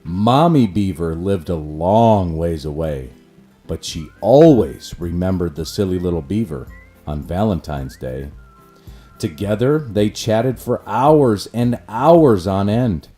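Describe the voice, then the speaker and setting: A man narrating a rhyming children's storybook in an expressive, measured way. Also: low-pitched, faint music behind.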